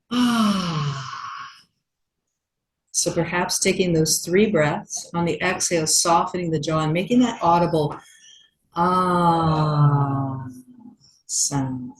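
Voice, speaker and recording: A woman yoga teacher leading a guided meditation in a gentle, unhurried manner with long pauses. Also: sits low, low-quality recording.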